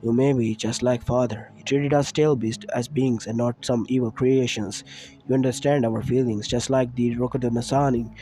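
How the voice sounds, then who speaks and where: A man voicing a kindly female fox spirit in a read-aloud fan story, speaking low and soft. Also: steady tone, normal speed, soft background music, natural voice. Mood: gentle.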